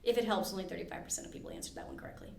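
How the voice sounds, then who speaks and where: A woman hosting a library podcast, running a casual trivia quiz with quick asides and easy laughs. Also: medium pitch, gravelly. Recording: roomy echo with faint background hiss.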